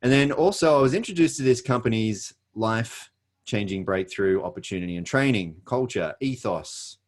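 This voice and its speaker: A middle-aged Australian man giving an earnest motivational presentation, pausing often with fillers and restarts. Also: fairly low pitch.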